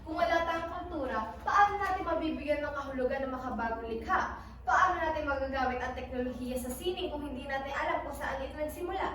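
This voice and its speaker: A woman acting a patient female teacher in a school stage play, explaining with deliberate projection. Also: high-pitched, a bit bright. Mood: instructive. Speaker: actor in a school one-act play. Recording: very echoey hall, distant mic, faint noise.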